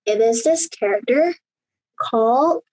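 Husky higher-voiced woman, rambling. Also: expressive, unhurried, a little mellow, no room sound, rough recording. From Indonesia. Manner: rambling, many fillers and restarts. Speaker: guest. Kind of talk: casual conversation over video call.

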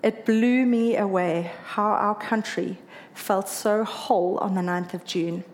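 A woman's voice: medium pitch, slow pace. South African woman telling a heartfelt story. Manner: earnest, emotional, lively when quoting others. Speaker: marathon runner telling her story. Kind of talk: personal storytelling speech.

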